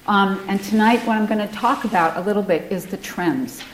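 A woman's voice: medium pitch, fairly quick, a little hiss or hum, poor audio. A middle-aged woman from Ecuador with an American accent, giving a conversational lecture to a class with frequent fillers and restarts. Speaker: guest lecturer.

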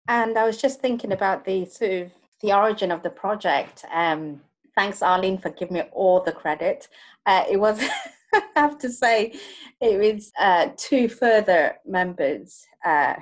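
A woman's voice: medium pitch, measured pace. A woman in casual podcast conversation, talking with many fillers and frequent small laughs. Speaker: project co-lead.

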